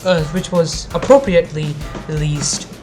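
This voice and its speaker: Low-voiced woman in blunt, sarcastic commentary. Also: normal speed. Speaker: anime review video maker. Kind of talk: informal video rant commentary. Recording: music behind the voice. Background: background music.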